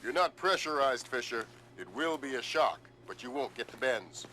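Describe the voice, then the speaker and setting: A man's voice: mid-range voice, lively intonation, moderate pace, sharp tone, slight background noise. A man playing an urgent, dry, authoritative spymaster in a video game. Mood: urgent, commanding.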